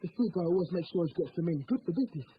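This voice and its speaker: A man's voice: a little high, expressive, very fast, phone-quality sound, a little hiss or hum, lightly acted. A man playing a streetwise, haunted homeless youth with a British accent in a drama, needling and probing as he tells stories. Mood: wistful storytelling. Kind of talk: dramatic dialogue with storytelling monologues.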